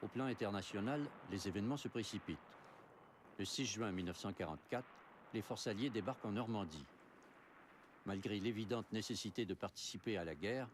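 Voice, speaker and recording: Un homme qui raconte un documentaire historique d'un ton posé et régulier. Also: male voice, lowish voice, a little hiss or hum. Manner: measured, even storytelling. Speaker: documentary narrator. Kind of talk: scripted narration.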